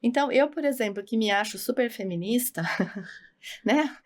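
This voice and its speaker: A woman's voice: fairly high pitch, expressive, moderate pace. A middle-aged woman with a Brazilian accent, talking as a guest in an emphatic, conversational, explanatory way. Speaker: podcast guest, consultant.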